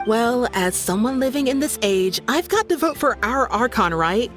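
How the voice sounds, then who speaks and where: A woman playing a spirited, feisty young townswoman in a video game, with a brisk, scoffing manner. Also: a little high, expressive, soft background music, close to an everyday voice. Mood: thoughtful, dismissive. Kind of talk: video game character acting.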